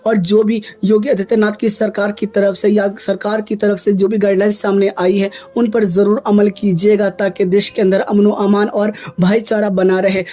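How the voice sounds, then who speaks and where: High-pitched, even-toned man presenting. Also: moderate pace, hardly any pauses. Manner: earnest, admonishing, addresses listeners as dear friends. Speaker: YouTube channel host. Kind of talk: scripted news commentary turning into religious exhortation.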